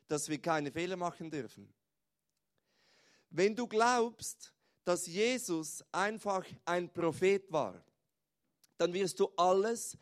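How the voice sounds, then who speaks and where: A man with a Swiss German accent preaching in standard German, informal and putting questions directly to his listeners. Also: sits high. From Switzerland. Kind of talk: sermon.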